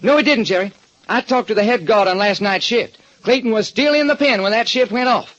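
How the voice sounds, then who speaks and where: Loud, high-strung police lieutenant. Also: male voice, a little fast. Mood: worried. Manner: loud, emphatic, high-pitched. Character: anxious police lieutenant, boastful then worried. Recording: dull lo-fi old radio broadcast.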